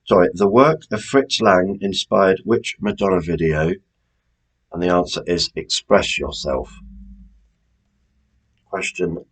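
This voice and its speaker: Deep-voiced, slow-paced British man. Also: gravelly, husky, rough recording. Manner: chatty, informal, repeats questions. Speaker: quiz host. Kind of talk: informal quiz presenting.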